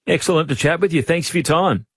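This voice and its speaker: A man's voice: on the high side, fast talker, breathy edge, no room sound, clean studio sound. An Australian man interviewing casually as a radio host.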